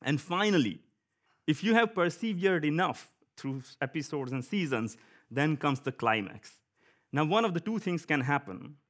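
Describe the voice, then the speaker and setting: A man from India with an Indian accent giving a conversational church sermon, often pausing with fillers and light laughs. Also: a little high, expressive, fairly bright tone. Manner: conversational, chuckling, with frequent fillers. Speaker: preacher.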